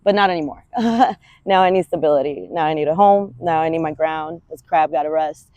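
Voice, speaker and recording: A woman's voice: low voice, a little fast, no echo, dull audio. A woman, a fitness coach talking candidly in a rambling, casual vlog and swearing freely. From Washington Heights, New York. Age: 30s.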